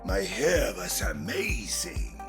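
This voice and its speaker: An actor playing a big, hearty, boastful older war veteran in a video game, with a gravelly, booming voice. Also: male voice, big pitch swings, takes it slowly, fairly bright tone, faint music behind, full character voice. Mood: nostalgic, boastful. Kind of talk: video game hero voice lines.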